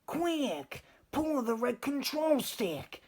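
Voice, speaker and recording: An eccentric inventor professor from a children's storybook, acted by a man. He is eager and helpful, exclaiming and instructing in a high voice well above normal talk. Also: very animated, extremely slow, heavily acted. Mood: urgent.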